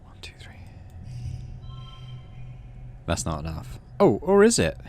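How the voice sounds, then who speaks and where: A man's voice: deep voice, wildly swooping pitch, slow, a little mellow, soft background music. A man casually hosting a game playthrough, reading narration aloud and muttering his thinking as he plays. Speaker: gaming channel host.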